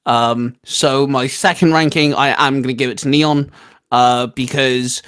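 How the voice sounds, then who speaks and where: A man chatting casually and giving emphatic opinions as a co-host, with halting, repetitive phrasing. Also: medium pitch, fairly even intonation, a bit bright, clean tone. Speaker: co-host ranking video game powers.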